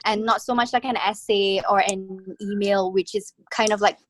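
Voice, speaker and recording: A woman's voice: mid-range voice, steady tone, normal speed, low-quality recording. A woman in her thirties from Singapore, chatting casually on a video call, laughing often and full of fillers. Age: 30s. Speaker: guest newsletter writer.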